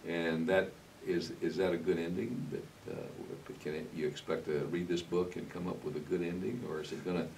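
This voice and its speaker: A man in his seventies hosts a conversational interview in a low voice. He is halting and often repeats his phrases. He sounds slightly away from the microphone, with faint noise behind him. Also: fairly even intonation, creaky, a little mellow. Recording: slightly distant mic, faint background noise.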